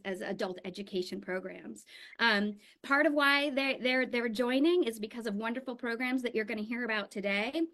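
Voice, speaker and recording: A woman's voice: a little high, fairly bright tone. A woman program director giving an earnest, enthusiastic webinar presentation, with fillers and repeated words. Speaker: adult education program director.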